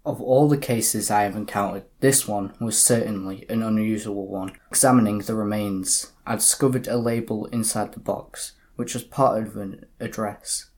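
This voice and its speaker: A man playing a calm, sober detective inspector in an audio drama, speaking in a measured, formal way. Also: fairly low pitch, lightly acted. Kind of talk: community audio drama narration and dialogue.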